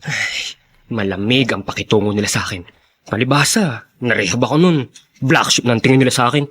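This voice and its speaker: Husky, aggrieved grown son. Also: male voice, mid-range voice, a bit bright. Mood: hurt, bitter. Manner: halting, respectful to elders. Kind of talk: Tagalog radio drama acting.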